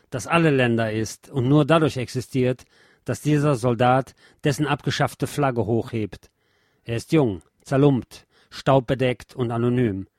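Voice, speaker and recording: A man reading scripted documentary narration in a measured, solemn, literary manner. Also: mid-range voice, no echo, studio quality.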